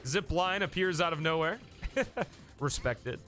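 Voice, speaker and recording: A man's voice: on the high side, unhurried, pushed and bright, faint music behind. A man live-streaming a game, chatting casually and thanking viewers by name, a bit sniffly from a coming cold.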